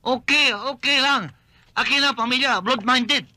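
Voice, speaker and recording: An actor playing a smitten suitor who owns a noodle shop, speaking in broken, pidgin grammar. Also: male voice, very high-pitched, a little slow, bright, forceful tone, no echo, heavily acted. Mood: earnest.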